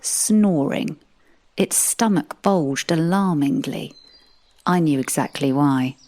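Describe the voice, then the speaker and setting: Low-voiced, sardonic woman storyteller. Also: slow pace, mellow. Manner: dry, sardonic. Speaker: narrator. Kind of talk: first-person comic audio drama storytelling.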